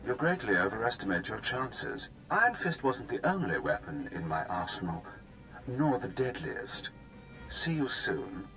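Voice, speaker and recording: An actor playing a smug, mockingly courteous military commander in a video game, speaking in a suave, formal, menacing way. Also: male voice, fairly low pitch, very animated, measured pace, pushed and bright, soft background music, heavily acted, poor audio. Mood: smug, threatening. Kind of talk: scripted video game cutscene acting.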